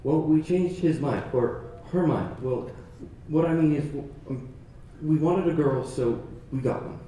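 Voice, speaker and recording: An actor playing a shy, mild-mannered old bachelor farmer who talks hesitantly and trails off. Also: male voice, fairly high pitch, measured pace, warm tone, a little hiss or hum, lightly acted. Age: older. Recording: roomy with music behind.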